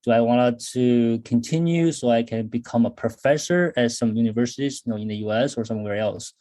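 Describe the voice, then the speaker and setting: A man's voice: medium pitch, brisk, dark tone. A man in his thirties from China, speaking Chinese-accented English as an interview guest, thoughtful with many fillers and restarts. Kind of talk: casual interview talk.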